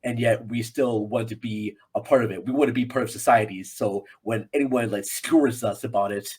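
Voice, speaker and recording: A man's voice: fairly low pitch, fairly even intonation, a little fast, darkish tone, slightly rough. An American man talking casually as a guest on a film podcast, rambling a little and repeating phrases for emphasis.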